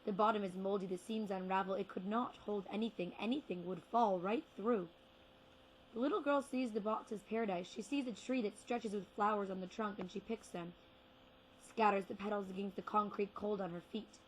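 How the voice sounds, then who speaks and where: A young woman performing spoken word poetry with deliberate, rhythmic phrasing and repeated words. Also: medium pitch, fairly even intonation, slight background noise, rough recording. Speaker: slam poet.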